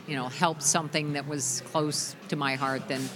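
A middle-aged woman with a deep voice, a musician guest chatting loosely in an interview, full of restarts and "you know". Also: steady tone, normal speed, music in the background. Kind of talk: casual interview chat.

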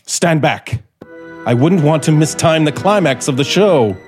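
Man acting a bitter scriptwriter, slow and theatrical. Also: fairly high pitch, animated, faint music behind. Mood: menacing, sardonic. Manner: theatrical, sardonic, deliberate. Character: bitter, vengeful male radio scriptwriter turned would-be murderer. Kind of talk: radio drama acting.

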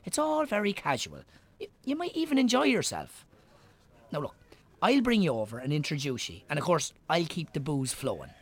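Actor as a breezy, higher-voiced Irish publican. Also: male voice, wildly swooping pitch, normal speed, exaggerated voice. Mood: breezy, coaxing. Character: breezy, persuasive matchmaking friend and pub landlord. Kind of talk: naturalistic radio drama acting.

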